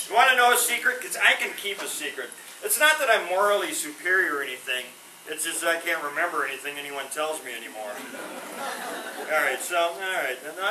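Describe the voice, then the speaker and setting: High-voiced comedian, echoey hall, far off. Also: male voice, bright, forceful tone. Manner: corny puns with a sheepish trailing delivery. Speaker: stand-up comedian. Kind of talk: stand-up one-liners. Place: veterans home hall. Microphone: no microphone, far from the recorder. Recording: roomy hall from a distance, noisy.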